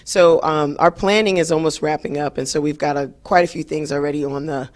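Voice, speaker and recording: A hoarse woman, hosting a talk show in an upbeat, chatty way with many fillers. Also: deep voice, fairly even intonation, brisk. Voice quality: raspy. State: hoarse after a late night. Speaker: radio show host. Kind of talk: solo talk show monologue.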